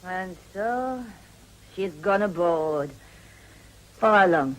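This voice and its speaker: Slow, worldly woman. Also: on the low side, expressive, heavy background noise. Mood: cool pragmatism. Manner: deliberate, cool, sophisticated. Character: worldly, pragmatic French-speaking mistress, coolly discreet woman.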